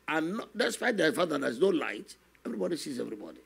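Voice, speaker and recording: An older man, a guest minister telling his testimony slowly and earnestly in a studio interview, often adding "you know". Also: fairly high pitch, very animated. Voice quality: gravelly, husky. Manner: slow, earnest storytelling with many "you know" asides. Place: television studio, seated on a set. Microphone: stand microphone in front of him.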